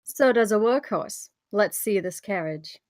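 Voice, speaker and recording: A woman playing a brisk, wry female adventurer for hire in a fantasy script read. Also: medium pitch, lively intonation, dry recording, lightly acted.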